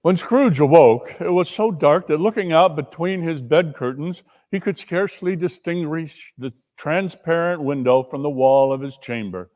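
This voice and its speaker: A man narrating a story as a dramatic reading, in a measured, theatrical manner. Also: a little high, darkish tone. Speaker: narrator and performer. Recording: long reverberant hall echo.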